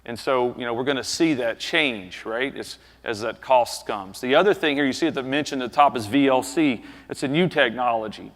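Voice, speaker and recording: A man's voice: medium pitch, a little fast, hardly any pauses. A man in his thirties with a Southern drawl delivers a business presentation from a conference stage. He speaks into a headset mic in a casual, chatty way, checking in with frequent tag questions. His voice rings out with the long reverb of a large hall. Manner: conversational, frequent tag questions, animated gestures while speaking.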